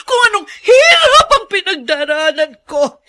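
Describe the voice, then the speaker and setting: A bright-voiced, lustful, tormented Filipino mother. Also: very high-pitched, big pitch swings, unhurried, exaggerated voice. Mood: anguished, defensive. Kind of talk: Filipino radio drama acting.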